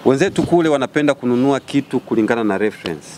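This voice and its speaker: A man's voice: medium pitch, lively intonation, very fast, a little mellow, a little hiss or hum. A man with a Tanzanian accent, speaking as an event organiser addressing a press conference.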